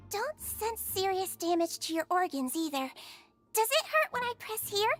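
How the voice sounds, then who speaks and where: A sweet little nurse from an anime game. Her voice is high and lilting, with a polite, soothing, attentive bedside manner over soft music. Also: sing-song, unhurried, full character voice. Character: gentle, sweet, small female nurse creature, caring and attentive.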